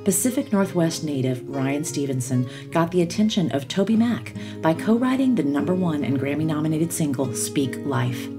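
A woman hosting a podcast, reading a scripted guest introduction in a smooth, measured way. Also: sits low, sing-song. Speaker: podcast host. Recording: guitar music underneath.

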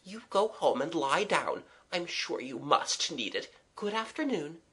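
A reader voicing a polite, matter-of-fact schoolgirl with a gentle, reassuring manner in a storybook. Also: medium pitch, expressive, moderate pace, voice pushed up, natural voice. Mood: soothing.

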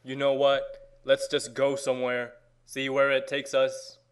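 A man giving a mock-dramatic reading, theatrical and overwrought, with long pauses and swings between hushed and loud delivery. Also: mid-range voice, completely flat delivery, clear, resonant tone.

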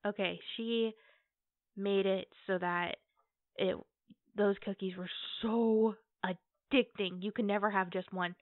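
A woman's voice: medium pitch, fairly even intonation, slow, no echo, close to an everyday voice. Woman playing an affectionate girlfriend, dry tinny audio. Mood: nostalgic, enthusiastic. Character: affectionate, playful girlfriend baking cookies at home. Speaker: roleplay ASMR performer. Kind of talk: casual girlfriend roleplay ASMR. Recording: tinny, phone-like band-limited audio.

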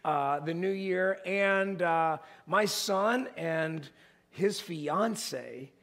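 Hoarse gravelly pastor preaching. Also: male voice, high voice, drawn-out words. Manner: animated, gesturing while pacing the stage, rising to emphatic peaks. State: hoarse, voice strained. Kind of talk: sermon. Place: large church stage with an audience.

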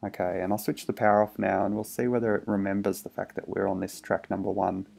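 A man's voice: low voice, little pitch movement, quick pace, vocal fry, a little mellow. A man casually narrating a hands-on electronics demo in a hesitant, understated way, thinking aloud. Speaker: electronics hobbyist presenter.

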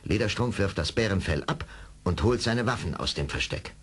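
A man narrating a radio play as a dramatic storyteller, with a husky voice. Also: on the low side, animated, normal speed.

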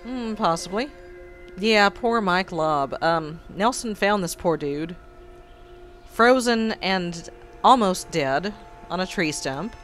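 A hoarse man, his voice still froggy after an illness, hosting a let's play and tossing in wry, sarcastic asides. He talks slowly at an ordinary pitch. Soft game music plays faintly underneath. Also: animated. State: hoarse after being sick. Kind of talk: casual game commentary.

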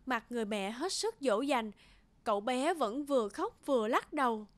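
A woman's voice: a little high, lively intonation, moderate pace, no room sound. A woman narrating a scripted children's folktale as a storyteller. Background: background music.

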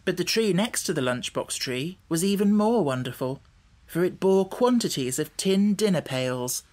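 A man reading scripted storybook narration as an audiobook narrator, measured and carefully articulated. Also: high voice, animated, darkish tone.

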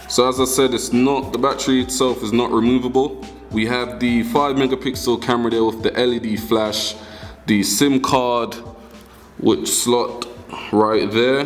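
Chatty young man hosting a casual unboxing. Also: medium pitch. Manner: chatty, addresses viewers as guys. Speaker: tech channel host. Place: indoor room at a wooden table.

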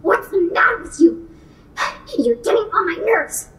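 Woman doing a grumpy man turning into a rhino, high voice, snappy, radio play, roomy sound. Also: sing-song, unhurried, fairly bright tone, heavily acted. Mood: irritated, snappish. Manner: gruff, snappish, contemptuous. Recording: roomy with faint noise and clicks.

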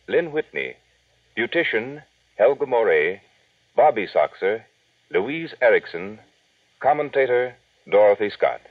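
Male 1940s network announcer, animated, husky read of scripted credits, thin vintage sound. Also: fairly low pitch, dry recording. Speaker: radio announcer. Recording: distant, phone-like vintage radio broadcast.

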